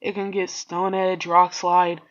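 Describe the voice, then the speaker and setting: A man's voice: high voice, completely flat delivery, measured pace, a bit bright, muffled recording. A man with a congested, stuffy voice, talking casually as a YouTuber, rambling in slang with joking asides. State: congested, sick. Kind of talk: casual solo video commentary.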